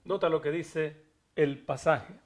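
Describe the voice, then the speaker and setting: A man's voice: a little high, fairly even intonation, takes it slowly. A man taking part in a bible study, reading verses aloud and answering the pastor with short affirmations. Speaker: congregant reading scripture.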